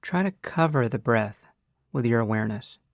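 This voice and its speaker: A man leading a guided meditation as a teacher, speaking in an unhurried, measured way with long pauses. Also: mid-range voice, lively intonation, creaky, no room sound, clean studio sound.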